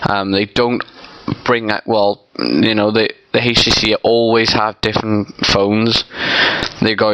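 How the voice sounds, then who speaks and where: A man's voice: on the low side, fairly bright tone, smooth, dry recording, poor audio. A young man with a stuffy, congested nose, rambling casually in a vlog with lots of ums and you-knows. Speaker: vlogger. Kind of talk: casual unscripted opinion vlog.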